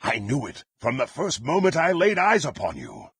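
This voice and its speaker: An actor playing a power-hungry, contemptuous dark warrior in a fantasy video game, with a gravelly, husky voice and haughty, theatrical, archaic phrasing. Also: male voice, medium pitch, wildly swooping pitch, moderate pace, no room sound, heavily acted. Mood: intense, imperious.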